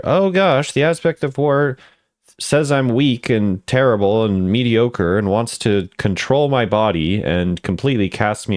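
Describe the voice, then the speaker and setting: A man's voice: mid-range voice, dry recording, clean studio sound. A man giving offhand, casual commentary as a video creator, musing conversationally as he rates things. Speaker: video creator ranking game voice lines.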